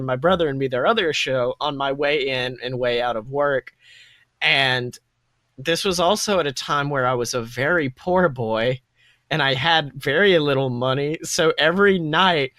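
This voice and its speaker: A young man with a fairly high voice co-hosts a casual podcast. He gushes and rambles, often breaking off mid-thought to start again. The sound is close and dry, with little room to it. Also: sharp tone, dry recording. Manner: gushing, rambling, self-interrupting. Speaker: podcast co-host. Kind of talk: casual enthusiastic chat.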